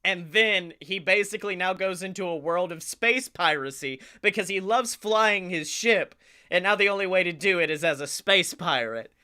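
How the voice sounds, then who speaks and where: A man co-hosting a comedy podcast, pitching a story in casual, jokey, sweary talk. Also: normal speed, pushed and bright, clean tone.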